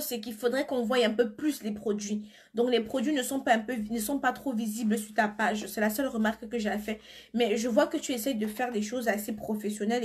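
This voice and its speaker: A woman with a cold and flu chatting on a live stream from her phone, answering viewers' comments and turning blunt when she insists on something. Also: on the high side. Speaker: beauty seller on a live stream. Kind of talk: casual live stream chat.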